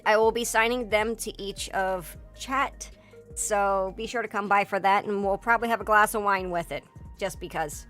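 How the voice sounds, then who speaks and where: A hoarse woman streamer in a casual livestream chat, rambling with lots of fillers and swearing. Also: mid-range voice, moderate pace, a bit bright. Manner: rambling, filler-heavy and profane, breaks into laughter. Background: faint background music.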